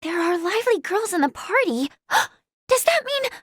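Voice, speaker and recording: A woman voicing a cheerful, excitable, inquisitive teenage girl in a video game, with a perky, teasing, youthful manner. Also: extremely high pitch, unhurried, no echo, studio quality, heavily acted. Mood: playful, teasing. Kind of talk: video game character dialogue.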